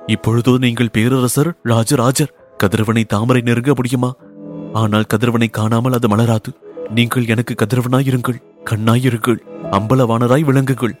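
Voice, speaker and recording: A man's voice: medium pitch, close to an everyday voice. A man voicing a devoted, humble woman temple dancer, speaking in earnest, poetic and pleading lines for an audiobook.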